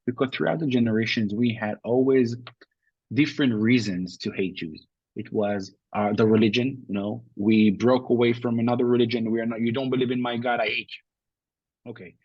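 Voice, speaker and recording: Israeli man, husky voice, dry sound. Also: medium pitch, moderate pace, darkish tone, dry recording, muffled recording. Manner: thoughtful, with frequent restarts and uh fillers. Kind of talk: interview answers.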